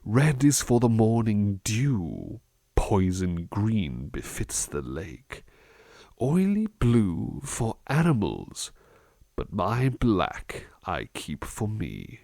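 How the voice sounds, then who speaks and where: A man playing a gentle, reflective butler in a soft-spoken ASMR roleplay, musing slowly and thoughtfully, at times dropping into a near-whisper. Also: fairly low pitch, wildly swooping pitch, gravelly, no echo, heavily acted. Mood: hushed reciting. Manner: hesitant, thoughtful, musing, unhurried. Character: gentle, reflective male butler reading poetry to his charge.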